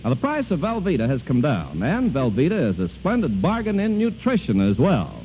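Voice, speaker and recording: An American man announcing a commercial in the style of 1940s radio advertising, delivering a scripted sales pitch. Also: wildly swooping pitch, brisk. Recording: tinny, noisy 1940s radio broadcast.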